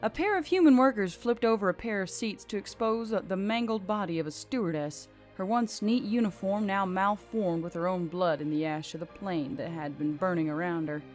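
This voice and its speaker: A woman's voice: medium pitch, moderate pace, few pauses, darkish tone. A woman reading scripted fiction as an audiobook narrator. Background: background music.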